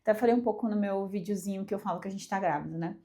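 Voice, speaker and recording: A woman's voice: mid-range voice, very fast, warm tone. A young Brazilian woman congested with a cold, chatting casually and emphatically to camera as a vlogger. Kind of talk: casual personal chat to camera.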